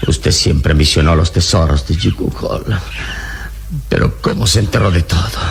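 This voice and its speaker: An actor playing a calm, courteous adventurer hero in a Latin American radio serial, speaking deliberately with dramatic pauses. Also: male voice, low voice, vocal fry, slight background noise. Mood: curious, probing.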